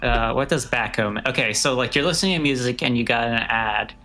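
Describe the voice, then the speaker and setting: A man's voice: medium pitch, a little fast, bright, forceful tone. A man chatting casually as a livestream guest, with a dry, wry, rambling way of bantering.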